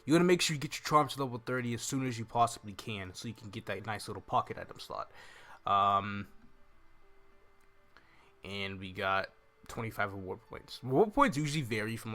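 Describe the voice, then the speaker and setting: A man's voice: on the low side, a bit bright. A young man hosting a game walkthrough in a casual, rambling way, thinking aloud as he goes. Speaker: gaming video host. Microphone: microphone on a stand close in front of him. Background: game music.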